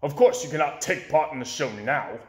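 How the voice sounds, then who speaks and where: A man voicing a bossy, excitable animal show director from a storybook, speaking in an emphatic way. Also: medium pitch, brisk, reverberant, lightly acted. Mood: stern.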